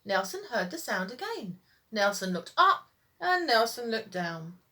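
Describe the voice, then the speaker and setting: Female storytime teacher, high-pitched and very animated, reading rhyming children's tales with a sing-song lilt. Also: unhurried.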